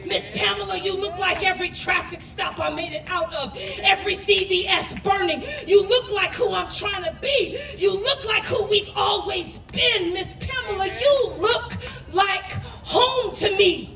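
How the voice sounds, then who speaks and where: A woman's voice: extremely high pitch, measured pace, vocal fry, hardly any pauses, fairly bright tone. A woman performing spoken word poetry with an impassioned, emphatic and dramatic delivery. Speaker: poet. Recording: roomy echo, distant microphone.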